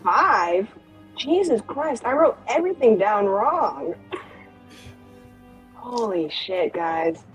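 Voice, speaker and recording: A woman's voice: a little high, very animated, very slow, long pauses, lo-fi sound, soft background music. A woman playing a tabletop game, chatting casually in a self-deprecating, sweary way.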